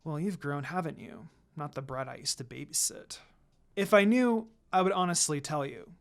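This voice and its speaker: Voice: medium pitch, normal speed, voice pushed down, natural voice. A narrator voicing a sly, unbothered young female ninja with a dry, mocking, understated way of talking. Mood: coolly evasive.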